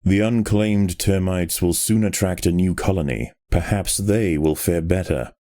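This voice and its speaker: Male game narrator, very low and dark-toned, solemn nature documentary read, measured and dramatic. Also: dry recording, clean studio sound.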